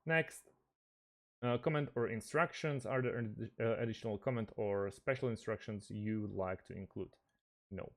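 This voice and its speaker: A man presenting a step-by-step screen tutorial, speaking haltingly with pauses mid-phrase. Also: lowish voice.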